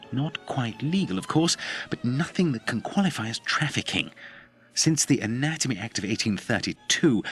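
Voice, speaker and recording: Mid-pitched genial doctor played by an actor. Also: male voice, fairly bright tone, close to an everyday voice. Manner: familiar, polite, explanatory. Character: genial, candid male Victorian English hospital doctor. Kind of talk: dramatic video game dialogue.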